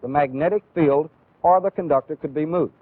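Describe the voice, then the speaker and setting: An American man giving a methodical, deliberate instructional lecture as a training film instructor. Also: on the high side, no echo. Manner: deliberate, methodical, clearly explanatory. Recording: phone-like, band-limited old training film audio.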